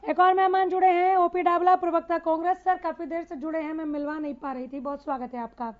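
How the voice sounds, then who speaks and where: A woman's voice: very high-pitched, fairly even intonation, fast talker. A woman news anchor moderating a live television panel debate. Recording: dull, band-limited broadcast audio.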